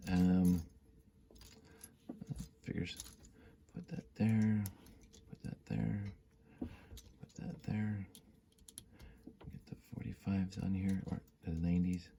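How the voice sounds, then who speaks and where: A man's voice: deep voice, steady tone, takes it slowly, gravelly, pauses often, dark tone, slight background noise. A man with a stuffy cold, thinking aloud while he builds, rambling and humming as he works. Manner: rambling, self-deprecating, hums and mutters while working. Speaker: building hobbyist vlogger.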